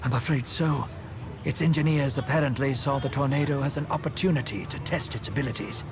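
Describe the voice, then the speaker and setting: An actor playing a courteous older gentleman strategist in a video game, speaking in a formal, measured, polite way. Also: medium pitch, lightly acted. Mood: grave, composed. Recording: loud music behind the voice. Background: loud background music.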